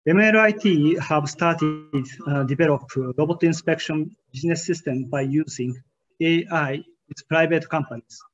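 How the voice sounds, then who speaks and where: A man's voice: a little high, moderate pace, soft background music. Man, hesitant keynote delivery. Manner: hesitant, frequent "uh" fillers, careful formal phrasing. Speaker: keynote speaker. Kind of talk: conference keynote presentation over slides. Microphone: webcam on a video call. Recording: slightly muffled video-call audio.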